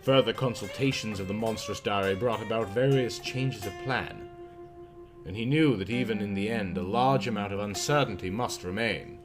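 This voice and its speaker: A man with a fairly low voice narrates a literary horror story in a scripted audiobook style. Plucked guitar music plays clearly behind his reading. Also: normal speed.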